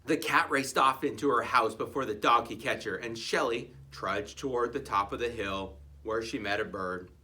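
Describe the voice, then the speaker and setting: A reader narrating a children's story in an expressive, playful way, with chatty asides to the listeners. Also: mid-range voice, moderate pace, few pauses.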